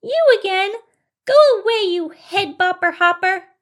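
A woman's voice: extremely high pitch, a little slow, a bit bright, voice pushed up. A woman voicing a small, rude, grumpy male field mouse in a children's story, snappy and petulant.

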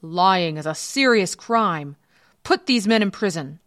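A woman playing a stern, grand male emperor, loud and emphatic in a storybook tale. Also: on the low side, expressive, moderate pace, no echo, studio quality, lightly acted. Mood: furious.

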